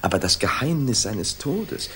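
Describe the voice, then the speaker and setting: An actor playing a detective's loyal, eager and puzzled companion in a 1960s German radio drama, questioning and slightly stammering. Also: male voice, mid-range voice, big pitch swings, measured pace, fairly bright tone, slight background noise. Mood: eager, baffled.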